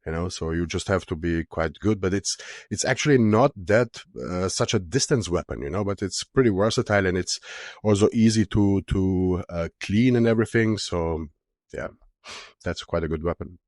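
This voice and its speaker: A man's voice: low voice, moderate pace, a little mellow, no room sound, studio quality. A man in his thirties with an Austrian German accent, raised in Austria after being born in Romania, answering interview questions casually with many fillers and restarts. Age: 30s. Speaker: guest, strongman athlete.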